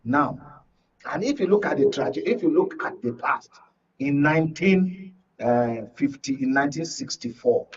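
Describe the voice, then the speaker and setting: Male Nigerian panel analyst, heavily raspy, emphatic and repetitive, muffled off-mic sound. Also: a little high, lively intonation, unhurried. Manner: emphatic, repeats words and phrases for stress. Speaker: studio guest, journalist and media analyst. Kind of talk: live political panel discussion. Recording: muffled, distant-mic broadcast audio.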